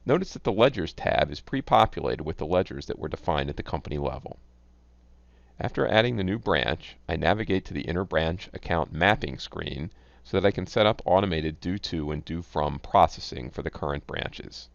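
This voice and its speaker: A man giving scripted, instructional narration as a software tutorial presenter, reading in a measured, enunciated way. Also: deep voice, animated, vocal fry, no room sound. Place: close, dry voice-over recording. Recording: dull, lo-fi close voice-over.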